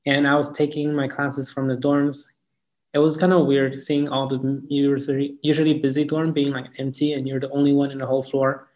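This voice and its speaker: A young man of about twenty, answering interview questions in a halting way, with lots of "like" and "um". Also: medium pitch, flat delivery, a little mellow. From Cameroon. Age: around 20. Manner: halting, hedging with "like" and "um". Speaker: student interviewee. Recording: dull lo-fi recording.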